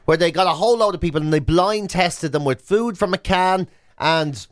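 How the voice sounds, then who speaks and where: A man's voice: high voice, moderate pace, no room sound, studio quality. An Irish man co-hosting a radio show with jokey, comic banter, acting out imagined lines as he goes.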